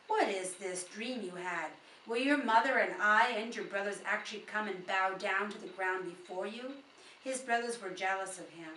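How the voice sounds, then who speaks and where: A woman with a mid-pitched voice reads scripture aloud, dropping in informal asides. She is some way from the microphone in a roomy space, with faint noise behind her. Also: a bit bright, distant mic. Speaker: Bible reader.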